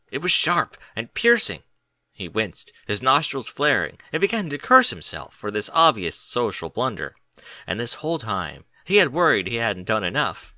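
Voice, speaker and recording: Male audiobook narrator, mid pitch, very lively intonation, dramatic phrasing, close dry sound. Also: dry recording. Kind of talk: expressive scripted story narration.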